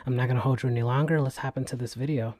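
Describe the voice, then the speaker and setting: Soft-voiced man, flat relaxed delivery. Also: medium pitch, very fast. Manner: relaxed, chatty, many fillers. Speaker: tech reviewer, channel host. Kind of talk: casual product review vlog. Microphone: USB desk microphone.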